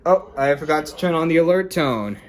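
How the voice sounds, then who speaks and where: A man's voice: fairly high pitch, expressive, brisk. A man hosting a casual, rambling unboxing video in an informal way. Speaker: video host. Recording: noisy home recording with clicks.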